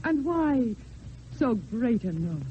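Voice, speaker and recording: An actress playing a tender, grieving lady in an old-time radio play, speaking in earnest, melodramatic, measured phrases. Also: on the high side, sing-song, slight background noise. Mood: realizing, insistent. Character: tender, grieving lady who has long mourned her love. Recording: muffled old radio, music.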